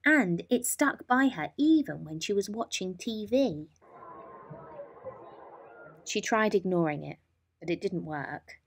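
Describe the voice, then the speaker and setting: A woman's voice: mid-range voice, expressive, slow pace, long pauses, slight background noise. A woman storyteller narrating a children's bedtime story.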